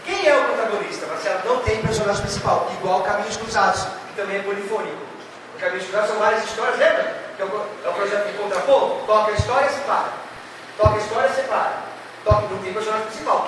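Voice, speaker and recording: A man from Brazil with a São Paulo accent, giving a lively, theatrical literature lecture and addressing students directly. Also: high voice. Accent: São Paulo state Brazilian Portuguese. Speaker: literature teacher. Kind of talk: animated exam-prep lecture. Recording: echoey hall, far from mic, noisy.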